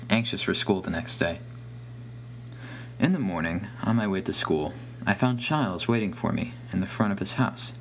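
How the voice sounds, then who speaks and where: Man, slightly deep voice, first-person horror narration, scripted, background hum. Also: low-quality recording.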